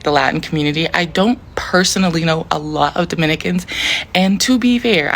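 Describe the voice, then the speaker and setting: A woman's voice: low voice, creaky, a little hiss or hum. An American woman ranting casually on a vlog, rambling with lots of fillers and incredulous asides. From United States. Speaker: social media commentator.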